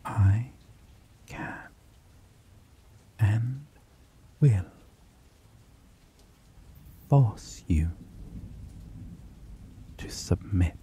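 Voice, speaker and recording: Man voicing a possessive male vampire, menacing, soft-spoken, lively pitch swings, mellow tone, ASMR roleplay. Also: slight background noise. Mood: whispered threat. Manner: slow, soft-spoken, menacing, drifts into whispers.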